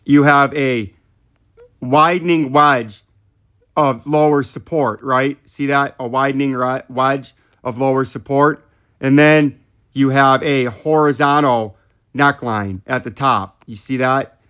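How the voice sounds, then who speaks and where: Male host for chart commentary, mid-pitched, explanatory, frequently tags points with a questioning check-in. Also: steady tone, takes it slowly, a bit bright, clear, resonant tone. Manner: explanatory, often checks in with "right?". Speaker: host of a crypto chart analysis channel. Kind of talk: live technical chart commentary.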